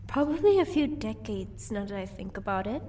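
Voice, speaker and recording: A woman's voice: medium pitch, sing-song, moderate pace, warm tone, natural voice. A woman playing an amused, haughty vampire wife in an audio roleplay, teasing in a cool, matter-of-fact way. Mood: casual, musing. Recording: voice distant from the microphone.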